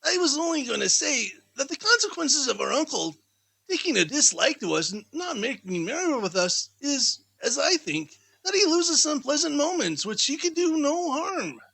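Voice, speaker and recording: A man voicing a cheerful, good-natured young Victorian gentleman in a chatty, animated way for a storybook. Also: very high voice, moderate pace, gravelly, voice pushed up, exaggerated voice, poor audio. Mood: cheerful, pitying.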